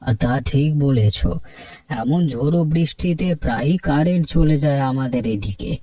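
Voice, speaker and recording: A man's voice: medium pitch, animated, moderate pace, dark tone, no echo, close to an everyday voice. A man voicing an easygoing village older brother in a cartoon story. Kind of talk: Bengali cartoon story dialogue.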